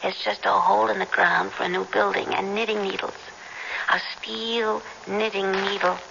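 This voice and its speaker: Gravelly, shrewd woman detective. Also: medium pitch, flat delivery, moderate pace, a bit bright. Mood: brisk, triumphant. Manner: probing, hard-boiled, self-assured. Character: brisk, shrewd, suspicious female police detective. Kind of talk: 1970s radio drama acting. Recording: dull, noisy old radio broadcast, reverberant.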